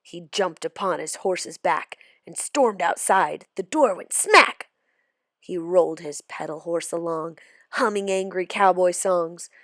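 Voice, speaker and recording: A woman reading a children's storybook aloud as expressive scripted narration. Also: lowish voice, unhurried, dry recording, studio quality. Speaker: storybook reader.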